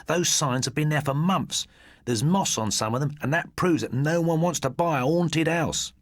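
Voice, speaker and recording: Man acting a boastful schoolboy, raised pitch, dramatic, insistent, slightly rough voice, ghost storybook. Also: moderate pace, dry recording, studio quality, close to an everyday voice. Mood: triumphant. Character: excitable schoolboy, boastful spinner of ghost tales.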